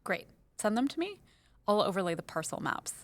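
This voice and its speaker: A woman playing a practical, helpful office colleague in an audio drama, talking in a brisk, supportive manner. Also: mid-range voice, big pitch swings, lightly acted. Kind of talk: naturalistic audio drama dialogue.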